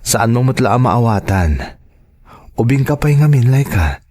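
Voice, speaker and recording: A man's voice: medium pitch, lively intonation, normal speed, a little mellow, natural voice. A man playing a hesitant, evasive father of a young daughter in an Ilocano audio drama. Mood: apologetic, evasive.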